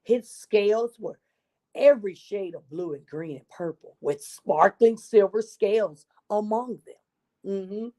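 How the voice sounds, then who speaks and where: Lady reading a picture book to kids, slow and mellow, expressive, chats to the listeners. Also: mid-range voice. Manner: expressive, with asides to young listeners. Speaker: children's storyteller. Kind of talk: read-aloud storytelling for young children.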